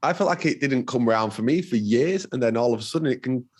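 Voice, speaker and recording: Lively man chatting on a podcast. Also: fast talker, breathy edge. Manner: chatty, with lots of "like" fillers and a lively pitch. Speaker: podcast co-host. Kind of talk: casual conversational podcast.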